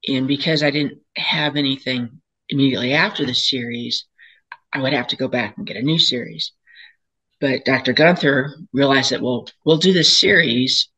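An older woman speaks with a raspy, hoarse voice from a lingering cold, yet her tone stays bright and forceful. She tells her own story as a patient, earnest and candid, with pauses and restarts. Heard through a home webcam on a video call. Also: a bass voice, highs cut off. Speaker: patient sharing her experience. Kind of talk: personal testimony at a panel. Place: home room, indoors, seated in a chair.

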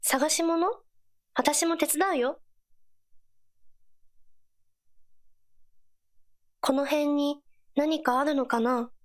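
A woman voicing an earnest, supportive teenage girl companion in a game, gentle and encouraging in short scripted lines. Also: sits high, slow pace. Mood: curious, earnest. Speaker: game character voice actor.

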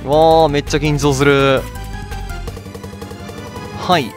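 A man's voice: fairly high pitch, little pitch movement, takes it slowly. A man giving casual video game commentary, talking to himself in short reactions as he plays. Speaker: let's play streamer. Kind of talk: casual game commentary, reading dialogue aloud. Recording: game music behind the voice. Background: game music.

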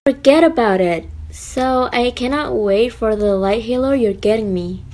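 Sweet, eager, slightly high young woman acted. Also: measured pace, darkish tone, natural voice. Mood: excited. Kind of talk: amateur animated movie voice acting.

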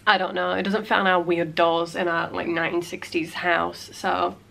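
A woman's voice: fairly low pitch, little pitch movement, moderate pace, creaky, fairly bright tone, a little hiss or hum. A woman, chatting casually as a vlogger at home, her voice congested from a cold, full of "like" fillers. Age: late 20s. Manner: chatty, frequent "like" fillers, playful asides. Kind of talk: casual chat. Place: small cluttered home room.

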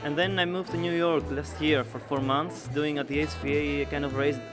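Halting Greek-accented man over guitar music. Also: fairly high pitch, level tone. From Greece. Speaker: artist, interview guest. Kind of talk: on-camera interview. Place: indoor art fair booth. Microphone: handheld interview mic held out by interviewer.

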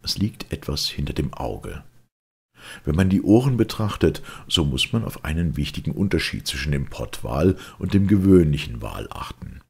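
Very deep, gravelly male audiobook narrator. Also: big pitch swings, normal speed, a little mellow. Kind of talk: literary audiobook reading, scripted narration.

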